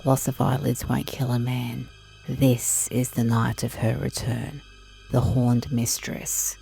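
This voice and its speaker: Man voicing a cold, creaky, hushed sinister woman. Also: medium pitch, completely flat delivery, slow pace, soft background music, rough recording. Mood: cold, menacing. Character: cold, sinister woman giving grim orders. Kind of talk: horror audio drama acting.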